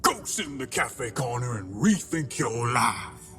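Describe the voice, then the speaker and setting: A man's voice: mid-range voice, sing-song, unhurried, fairly bright tone. A man playing a pompous, sentimental crab detective who narrates his log in a grandiose, melodramatic comedy audio drama style. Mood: scolding. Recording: music behind the voice.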